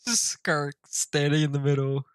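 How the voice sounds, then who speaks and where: A man's voice: fairly high pitch, fairly even intonation, normal speed, clean tone, no room sound. A man giving casual, playful live stream commentary while he plays a video game, offhand and reacting aloud.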